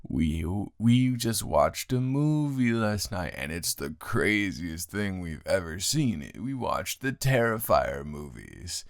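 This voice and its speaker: A man's voice: low-pitched, very animated, slow pace, creaky, few pauses, dry recording, studio quality, exaggerated voice. A man mimicking an eager, naive young woman in a gushing, insistent way during a roleplay monologue. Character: eager, naive young female roommate and her gushing friends.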